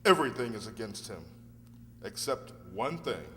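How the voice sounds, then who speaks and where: A man's voice: mid-range voice, expressive, moderate pace, a bit bright, natural voice. A man in a radio play acting an earnest, courteous defence lawyer who speaks formally and politely. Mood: frank, careful. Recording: echoey hall, faint noisy hum.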